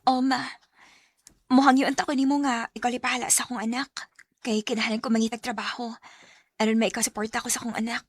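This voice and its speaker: Actress as an earnest high-voiced young Visayan woman. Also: little pitch movement, quick pace, pauses often, no echo, close to an everyday voice. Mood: pleading. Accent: Visayan Filipino. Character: earnest young single mother, unlucky in love. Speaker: lead actress. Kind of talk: Cebuano radio drama acting.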